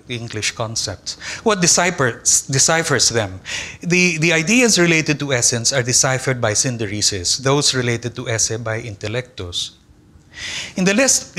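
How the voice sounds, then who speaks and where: Older man giving a measured academic lecture. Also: mid-range voice, fairly bright tone. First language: Tagalog. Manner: measured, deliberate reading with careful pauses. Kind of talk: academic conference lecture read from a text. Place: large lecture hall with an audience. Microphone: lectern microphone.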